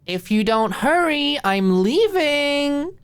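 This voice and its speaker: Audiobook role: confident, amused young woman, voiced by a female narrator, lifted pitch, breezy and playfully teasing. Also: big pitch swings, slow, dry recording, full character voice. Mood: teasing, calling out.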